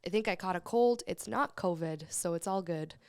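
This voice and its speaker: A woman co-hosting a casual sports podcast, slightly hoarse from a cold and talking with upbeat enthusiasm. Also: fairly low pitch, moderate pace, a little mellow, no echo, studio quality. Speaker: podcast co-host.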